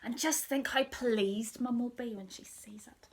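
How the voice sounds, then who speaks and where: A woman's voice: on the high side, very animated, normal speed, husky. A woman voicing a naughty young boy who whines and plots mischief. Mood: scheming. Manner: whiny and wheedling.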